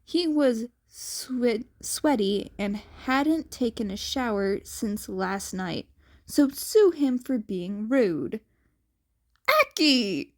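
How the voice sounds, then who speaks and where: A woman's voice: fairly high pitch, expressive, slow pace, darkish tone. A woman narrating fan fiction, occasionally stumbling and repeating words as she reads. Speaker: podfic reader.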